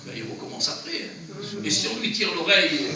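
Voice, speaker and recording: A man's voice: sits high, big pitch swings, brisk, crowd noise. A man preaching a sermon in church, who has a cold and a runny nose, exhorting earnestly in short phrases. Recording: very echoey church, from far off, noisy.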